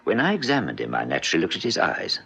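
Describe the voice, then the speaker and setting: A weary hospital doctor in a vintage radio drama. The voice is extremely low and gravelly, with big swings in pitch. He is brisk, clinical and drily facetious. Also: huge pitch swings, a bit bright, heavily acted, rough recording. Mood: firm, clinical. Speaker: actor in a radio police drama. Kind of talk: old South African radio drama acting.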